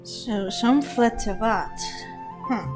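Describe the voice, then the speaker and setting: A woman's voice: a little high, takes it slowly, over music. A woman streaming a game, thinking aloud in a hesitant, casual way and laughing often. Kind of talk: gameplay commentary.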